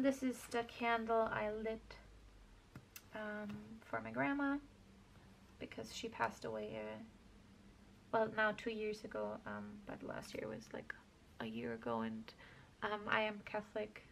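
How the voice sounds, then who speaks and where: Young female crafter from Austria, chatty and meandering, gravelly fry, faint background noise. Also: fairly high pitch, unhurried, long pauses, a bit bright. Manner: rambling, self-correcting, chatty. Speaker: craft vlogger. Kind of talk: casual scrapbook walkthrough.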